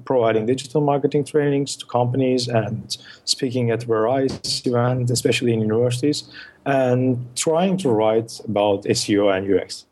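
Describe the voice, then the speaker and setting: A man's voice: medium pitch, moderate pace, darkish tone. A man, a guest on a podcast, explaining his work in lists with many fillers and restarts. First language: Turkish. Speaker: guest, search marketing consultant. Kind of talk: podcast interview answers.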